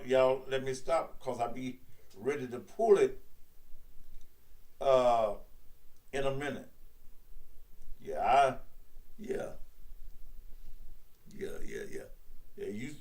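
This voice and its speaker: A man in his sixties, a radio host and preacher, talking in a slow, emphatic preaching cadence. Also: a little mellow, distant mic, rough recording. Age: 60s. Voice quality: baritone. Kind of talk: preachy live-stream talk.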